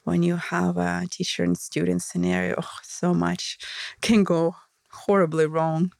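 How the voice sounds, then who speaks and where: A woman's voice: lowish voice, level tone, measured pace, vocal fry, darkish tone, no room sound. A woman with a Russian accent, hosting a podcast and speaking frankly and casually in a candid, matter-of-fact monologue. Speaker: podcast host and intimacy educator.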